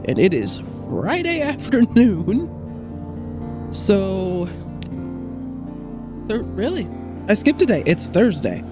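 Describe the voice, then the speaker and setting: A woman's voice: medium pitch, wildly swooping pitch, slow pace, long pauses, music in the background. Congested woman chatting over gameplay. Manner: chatty, self-correcting, thinking aloud. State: congested from a cold. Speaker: let's play host. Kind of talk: casual gameplay commentary.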